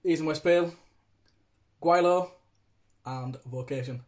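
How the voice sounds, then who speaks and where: A man's voice: a little high, unhurried. A British man in his thirties giving a casual, chatty, informal review to camera, recorded on a phone in a room at home. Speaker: beer reviewer, vlog host. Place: small domestic room indoors. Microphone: phone propped at arm's length.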